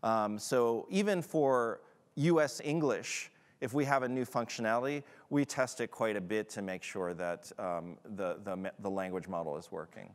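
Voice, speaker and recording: Relaxed man answering questions in a hall. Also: fairly low pitch, normal speed. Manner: hesitant, many fillers and restarts, casual. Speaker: presenter answering audience questions. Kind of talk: conference Q&A. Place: large conference hall. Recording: long hall reverb.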